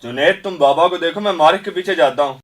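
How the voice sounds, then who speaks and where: A man's voice: on the high side, quick pace, a bit bright, close to an everyday voice. A man playing a fierce, vengeful young freedom fighter in a radio drama, declaiming intensely. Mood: urgent.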